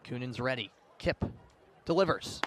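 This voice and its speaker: A man's voice: mid-range voice, expressive, a little slow, a bit bright, slight background noise. A man doing live radio baseball play-by-play, in clipped, descriptive phrases. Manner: clipped, descriptive calling that swells on big plays. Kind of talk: live radio sports commentary. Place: outdoor ballpark broadcast position. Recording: outdoor ballpark ambience behind voice.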